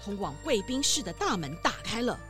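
A woman's voice: medium pitch, sing-song, brisk, music in the background. A woman narrating a scripted children's mystery story in a suspenseful, dramatic way.

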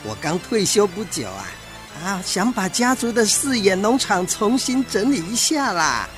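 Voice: mid-range voice, very animated, over music, lightly acted. An actor playing a scheming retired male tiger frog, wheedling and insinuating, in a children's radio drama. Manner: slow, insinuating, with knowing chuckles.

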